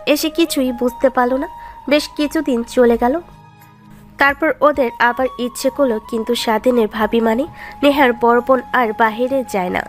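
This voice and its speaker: Woman narrator with a fairly high voice. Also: steady tone, normal speed, soft background music. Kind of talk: scripted romantic story narration.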